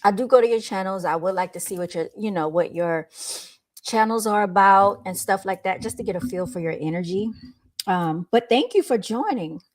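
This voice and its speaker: A woman's voice: mid-range voice, expressive, moderate pace. A woman with a light voice hosting a livestream, chatting informally with her viewers into a microphone. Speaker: livestream host. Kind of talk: casual livestream chat with viewers. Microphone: speaking into a microphone.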